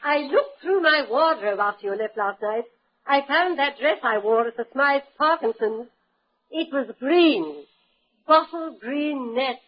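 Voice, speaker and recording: An actress playing an imperious, coy old British dowager duchess in 1940s radio drama. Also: female voice, high voice, animated, a little slow, slight background noise. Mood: coy, flustered. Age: older. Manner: haughty, commanding. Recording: muffled old radio recording.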